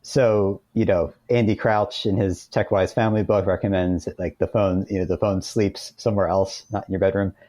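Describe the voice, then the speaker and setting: Low-voiced, mellow American man explaining thoughtfully. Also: fairly even intonation, normal speed, few pauses. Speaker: interview guest, author. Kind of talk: conversational interview, explaining with practical advice.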